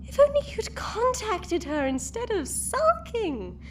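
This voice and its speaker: A woman's voice: extremely high pitch, very animated, slow, slight background noise, full character voice. A woman voicing a cruel, mocking female time-travelling villain who taunts her captives in a sing-song, theatrical way. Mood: taunting. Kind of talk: fan audio drama acting.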